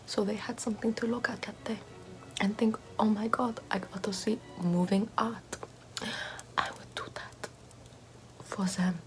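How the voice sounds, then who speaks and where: A woman's voice: medium pitch, steady tone, unhurried, long pauses, close to an everyday voice, low-quality recording. A woman whispering as a vain, pompous aristocratic lady doing makeup in an ASMR roleplay, in a haughty, self-admiring, gossipy way.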